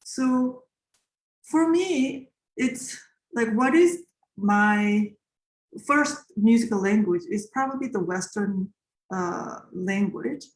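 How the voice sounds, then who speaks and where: A woman's voice: mid-range voice, animated, takes it slowly. Korean-born woman composer in her forties, reflective interview answers, roomy echo. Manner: reflective, with many fillers and restarts.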